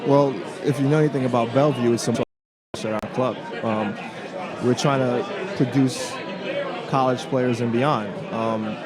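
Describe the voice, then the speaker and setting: A man from Trinidad and Tobago, a soccer club director, answering interview questions in a relaxed way with frequent ums. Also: mid-range voice, a little slow. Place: busy bar at a convention. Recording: loud crowd chatter behind.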